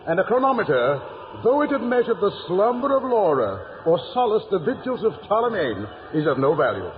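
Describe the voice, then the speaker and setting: A whimsical, learned old coachman speaks grandly and kindly, swooping through ornate phrases in a fairly high voice. The theatrical 1950s radio performance sounds tinny, with a long reverb. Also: very animated, brisk, slight background noise. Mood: grand, lofty.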